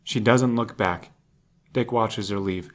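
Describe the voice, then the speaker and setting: A man's voice: on the low side, fairly even intonation, takes it slowly. A man reading scripted narration as the narrator of an audio drama.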